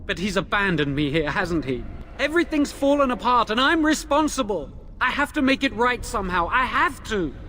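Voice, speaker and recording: A nervous young game mage, kinda high voice, guilty and twitchy. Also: sing-song, normal speed, bright, forceful tone, slight background noise, exaggerated voice. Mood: confessing, then desperate. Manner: anxious, remorseful, pleading. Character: nervous young male mage locked in a dungeon. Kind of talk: video game voice acting.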